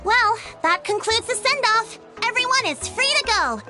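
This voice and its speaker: A woman voicing a small, childlike, excitable fairy companion with a squeaky voice in a video game. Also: moderate pace. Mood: cheerful hosting. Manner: animated, exclaiming, refers to self in third person. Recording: loud music behind the voice.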